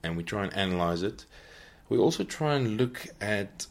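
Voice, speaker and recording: A man hosting a podcast, giving an unscripted Bible teaching talk and often pausing to search for his words. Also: fairly low pitch, animated, creaky. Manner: earnest, searching for words as he goes.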